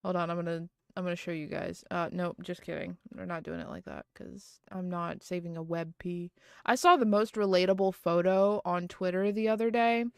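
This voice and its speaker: A woman's voice: on the low side, expressive, moderate pace, smooth, no echo. Rambling woman streamer, dry sound. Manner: rambling, full of "um" and "like", restarts. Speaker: livestreamer. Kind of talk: casual chat.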